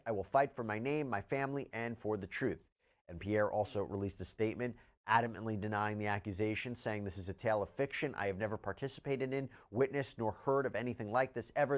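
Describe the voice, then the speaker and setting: A man delivering scripted legal news commentary in an explanatory, emphatic way. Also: mid-range voice.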